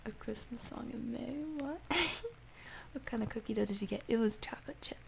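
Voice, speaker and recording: A soft-spoken woman hosting an ASMR livestream, chatting casually and gently, often dropping toward a whisper. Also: on the high side, moderate pace, gravelly, slight background noise. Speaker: livestream host. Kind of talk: ASMR livestream, casual chat reading and answering viewer comments.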